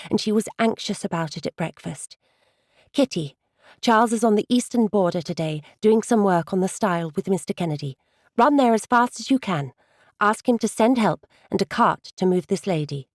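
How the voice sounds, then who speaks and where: A woman's voice: mid-range voice, a little mellow, dry recording, lightly acted. A level-headed young lady of a period audiobook, voiced by a woman, speaking in an earnest, commanding rush. Her voice sits mid-range and sounds dry and close. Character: young woman, brisk and sensible, taking charge. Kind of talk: audiobook dialogue reading.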